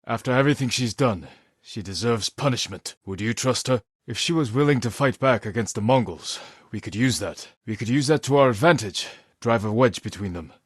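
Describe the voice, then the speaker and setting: An actor playing a stern, brooding samurai lord in a video game, speaking in measured, grave, clipped phrases. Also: male voice, mid-range voice, expressive, slightly rough, no echo, studio quality. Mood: wary, insistent. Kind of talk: dramatic video game voice acting.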